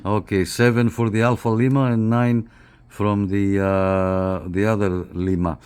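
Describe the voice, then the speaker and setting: A man with a fairly low voice talks casually through a hands-on review. He is unscripted and halting, often doubling back to repeat a phrase. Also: flat delivery, darkish tone, a little hiss or hum. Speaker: radio reviewer and CB operator.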